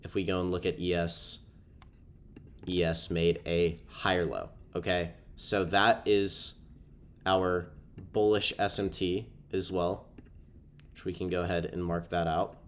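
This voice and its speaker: Stuffy-nosed man with a very low voice. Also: little pitch movement, measured pace. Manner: casual, filler-heavy explaining with frequent ums. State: stuffy from a cold. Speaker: trading educator. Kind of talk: screen-share trading walkthrough.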